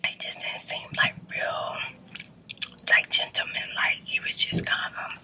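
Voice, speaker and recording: A woman in her forties whispering a personal dating story in a chatty way, with lots of fillers. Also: measured pace.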